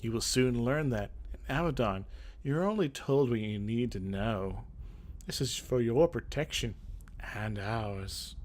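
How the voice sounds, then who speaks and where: A man voicing a stern, weary female senior advisor in a fantasy game, speaking in a dry, measured way. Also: medium pitch, a little mellow, lightly acted. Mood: cold, measured.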